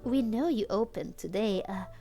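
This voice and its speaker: A woman's voice: medium pitch, moderate pace, warm tone, soft background music, lightly acted. A woman voicing a scheming, stoned young man who coaxes and bargains hesitantly, reading a fan story aloud.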